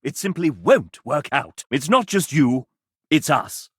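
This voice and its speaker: A man's voice: on the high side, sing-song, a little slow, a bit bright, husky, no echo, clean studio sound, exaggerated voice. An actor playing a sassy, theatrical male game-show host mascot in a video game, with pompous, showy, flowery delivery. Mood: sassy, mocking. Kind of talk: video game character voice lines, game-show hosting.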